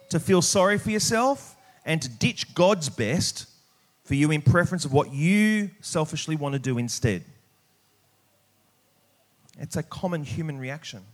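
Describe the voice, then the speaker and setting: Man preaching with emphatic, deliberate pauses. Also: fairly high pitch. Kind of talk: sermon with scripture reading.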